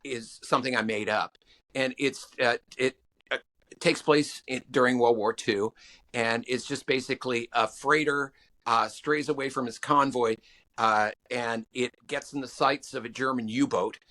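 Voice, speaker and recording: A man being interviewed as a songwriting guest, talking in halting stops and restarts with many fillers. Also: fairly even intonation, bright, forceful tone. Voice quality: low voice. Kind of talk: podcast interview answers.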